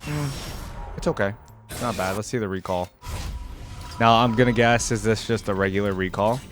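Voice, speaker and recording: A man's voice: lowish voice, moderate pace, music in the background. A man giving casual, off-the-cuff reaction commentary as a video creator, thinking aloud in a conversational way.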